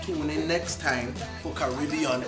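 A man's voice: fairly high pitch, fairly even intonation, unhurried. A young man from St. Thomas with a Virgin Islands accent, chatting casually and closing out like a playful announcer. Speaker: rapper, group member. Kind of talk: casual chat, mock show closing. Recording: echoey room with loud music.

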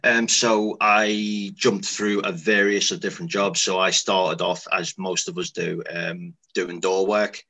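Gravelly deep-voiced Liverpool man. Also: flat delivery, a little slow, hardly any pauses, bright, forceful tone. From Liverpool, England. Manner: conversational, matter-of-fact, loose with grammar. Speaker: security dog handler guest. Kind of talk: casual video-call interview. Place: small room indoors. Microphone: webcam on video call.

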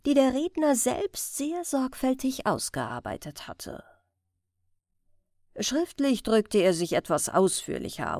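A woman reading a crime story as an audiobook narrator in a measured, careful storytelling manner. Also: mid-range voice, darkish tone, dry recording, clean studio sound.

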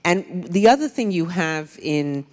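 A woman's voice: fairly low pitch, lively intonation, measured pace, a little mellow. An older woman author and historian speaking on a panel, chatty and digressive with wry asides, into a handheld mic. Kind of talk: public panel discussion. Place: large lecture hall on stage. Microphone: handheld microphone. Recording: long hall reverb.

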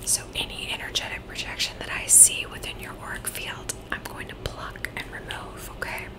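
A woman whispering softly and reassuringly as a Reiki practitioner, in an ASMR session. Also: moderate pace. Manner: soft whispering, gentle and reassuring. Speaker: Reiki practitioner and psychic medium. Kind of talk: whispered ASMR energy-healing talk. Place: Virginia, USA.

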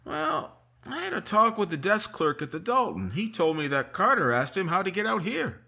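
A man's voice: sits high, huge pitch swings, normal speed, pushed and bright, heavily acted. An actor playing a patient small-town sheriff in a 1950s-style radio mystery, drawling and hesitating with "uh" and "mm" as he questions a witness. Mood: suspicious. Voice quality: husky, drawling with "uh" and "mm".

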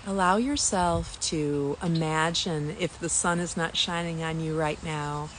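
A woman leading a spiritual guided meditation in a gentle, soothing manner, with long pauses between phrases. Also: lowish voice. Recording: clear environmental noise, birdsong.

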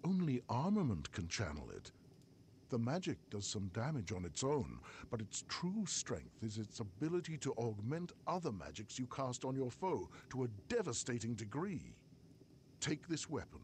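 A man voicing a wise old-world mentor from a fantasy game delivers measured, solemn instruction. His pitch moves in a lively way through each line. A faint noise sits under the game audio. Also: natural voice. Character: wise male mentor handing over a magic weapon.

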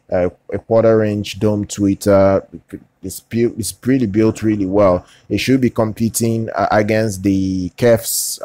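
A man presenting unscripted product news as a channel host, in a halting way that often repeats and restarts phrases. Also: fairly low pitch, mellow, dry recording. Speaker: tech reviewer, channel host.

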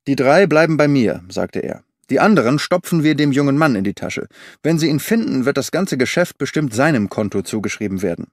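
Man, audiobook narration, measured and clear, voicing dialogue, dry close mic. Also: medium pitch, a little mellow, dry recording. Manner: measured storytelling reading, shifting tone for quoted characters.

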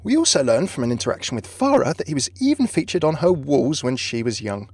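A man's voice: mid-range voice, wildly swooping pitch, fast talker, breathy edge, dry recording. A man hosting a video with scripted narration, recorded as a close voice-over. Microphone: close dry voice-over microphone.

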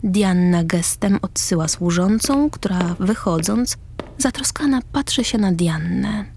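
A woman's voice: medium pitch, lively intonation, measured pace, a little mellow, a little hiss or hum. A woman narrator reading the scripted stage directions of a radio drama. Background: music.

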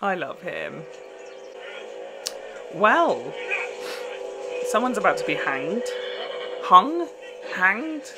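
A young man giving casual, expressive reaction commentary, chatting to camera and exclaiming in short bursts. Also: high-pitched, very slow, pauses often, over music, low-quality recording. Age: young adult. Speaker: reaction video host. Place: small indoor room at home.

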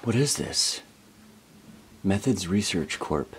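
A young man hosting a casual exploring vlog, chatty and excitable as he thinks aloud inside an abandoned building. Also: deep voice, slow pace, slight background noise. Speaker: urban explorer host. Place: abandoned newspaper building indoors.

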